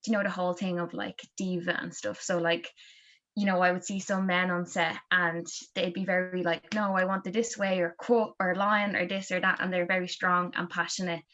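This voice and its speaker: A woman's voice: on the low side, little pitch movement, normal speed, fairly bright tone. A woman speaking casually as a panelist, chatty with many fillers. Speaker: panelist, screen worker. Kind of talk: panel discussion. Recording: dull lo-fi audio.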